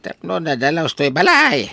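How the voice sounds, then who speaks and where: A man performs an elderly Ilocano widower, giddy with love, in a comic radio drama. His voice is bright and forceful, swinging animatedly up and down, with hesitant interjections. The recording is close and dry. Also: on the high side, creaky, no echo, full character voice. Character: elderly widowed father, giddily in love with young sweetheart.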